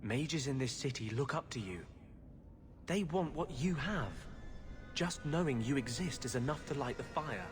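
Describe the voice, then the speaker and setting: A man's voice: medium pitch, expressive, normal speed, close to an everyday voice. Man as an earnest rebel mage over music. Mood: earnest conviction. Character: earnest, idealistic rebel mage, intense and troubled. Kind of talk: video game voice acting.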